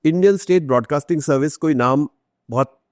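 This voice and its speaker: A man's voice: a little high, a little fast, a little mellow, no echo, studio quality. A man with an Indian accent telling stories conversationally as a podcast guest, explaining things and mixing Hindi with English. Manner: explanatory, acts out quoted remarks; switches between Hindi and English. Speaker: author, interview guest.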